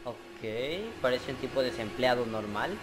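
A man's voice: medium pitch, steady tone, unhurried, rough recording. A young adult man giving casual reaction commentary, often repeating words in an incredulous way, recorded on a close mic. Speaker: reaction video host. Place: small bedroom indoors. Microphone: close studio mic on boom arm. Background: cartoon audio and music playing.

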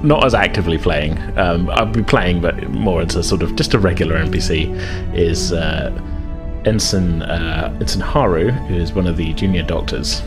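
A man's voice: low voice, moderate pace, over music. A man running a tabletop game as game master, narrating casually in hesitant, chuckling conversational phrases.

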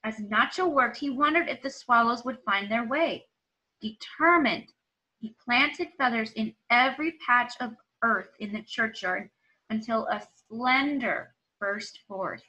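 Female storybook reader, 30s, schoolteacher type, very bright tone, expressive and deliberate, recorded in a small room at home. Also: on the high side, rough recording. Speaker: teacher reading aloud to pupils. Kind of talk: expressive children's storybook read-aloud.

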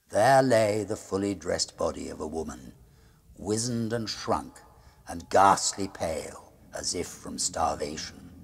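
A middle-aged man reading a gothic horror tale as a diary, in a grave, deliberate manner that sinks to a hush at the ends of phrases. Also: sits low, creaky, husky. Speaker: storyteller reading a classic ghost story aloud. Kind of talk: dramatic gothic horror narration, read as a diary. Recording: music under the voice. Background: music.

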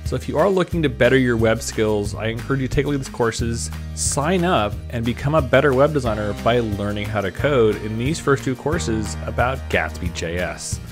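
A man's voice: mid-range voice, animated, a little fast, hardly any pauses, over music. Conversational man narrating a screencast tutorial. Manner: conversational, informal, thinking aloud. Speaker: presenter of web design tutorials. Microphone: desktop microphone at a computer.

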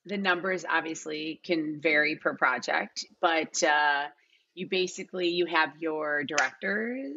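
A woman's voice: sits low, bright, forceful tone, dull audio. A middle-aged woman answering casually as an interview guest, emphatic and repeating words for emphasis, on a video call from home. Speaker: guest, casting director.

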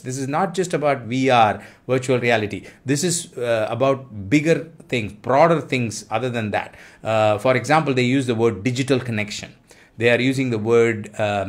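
Man presenting a weekly tech news roundup. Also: mid-range voice. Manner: explanatory, steady delivery with frequent uh fillers. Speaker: host of a weekly church tech news show.

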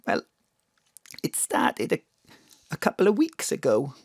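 An actress playing a distraught bereaved mother in an audio drama, halting, stammering and pleading. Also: lowish voice, wildly swooping pitch, gravelly, darkish tone, breathy edge, no echo, studio quality, exaggerated voice.

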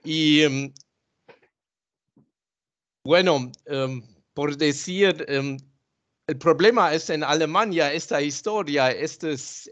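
A man speaking Spanish with a German accent, his second language, giving slow halting remarks with many pauses and filler sounds on a video call. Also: on the high side, a bit bright. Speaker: guest speaker. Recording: dull lo-fi call audio.

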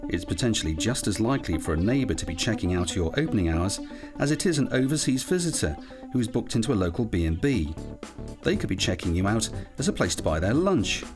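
A man with a low voice reads scripted training-film narration. His pitch moves in a lively way, and music plays clearly behind him. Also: a little fast. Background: background music.

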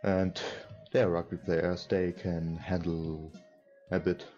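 Low-voiced young man, muffled, over game music. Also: level tone, slow, dark tone. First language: not English. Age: young adult. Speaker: let's player. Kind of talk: reading game text aloud with casual commentary. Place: small room indoors at a computer. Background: visual novel background music.

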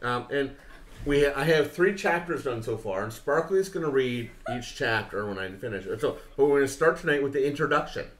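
A middle-aged man hosts a casual livestream with a very lively, swooping delivery. His talk is jokey and loose, like chat with friends. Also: medium pitch, fairly quick. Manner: animated, jokey. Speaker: streamer and host. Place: home room, indoors, at a desk.

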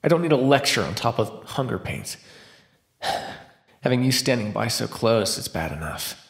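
A man's voice: medium pitch, very animated. A man voicing a starving captive vampire in an immersive roleplay monologue, sardonic and flirtatious with a desperate edge. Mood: sarcastic, bitter, then flirtatious, taunting. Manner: sardonic, teasing, breathy pauses and sighs. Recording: roomy, echoing sound.

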